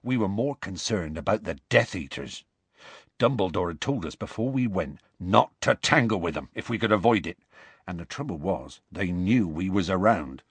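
Gruff, rustic big fellow. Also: male voice, low-pitched, lively intonation, normal speed, no room sound, full character voice. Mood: earnest explaining. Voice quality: gruff, growly. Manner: colloquial, rambling, dropped consonants. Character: big gruff rustic man, warm-hearted, indignant storyteller.

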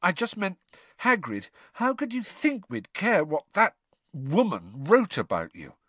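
A man's voice: high-pitched, wildly swooping pitch, a little slow, a bit bright, slightly rough, no room sound, voice pushed up, full character voice. A man voicing a loyal, blunt teenage schoolboy who talks earnestly and a bit flustered.

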